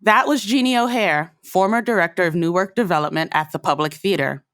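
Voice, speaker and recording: Female host for scripted podcast narration. Lower register, very dry recording. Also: a little fast, a bit bright, no echo.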